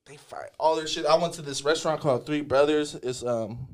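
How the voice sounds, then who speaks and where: A young male podcast host chatting casually with a fairly high voice. He talks in loose, profane slang and trips over his words, restarting phrases often. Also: brisk, darkish tone.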